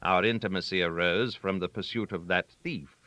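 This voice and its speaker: A man narrating a literary story aloud, with a theatrical, wry manner and deliberate emphasis on key words. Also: low voice, pushed and bright, dry recording. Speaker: audiobook narrator.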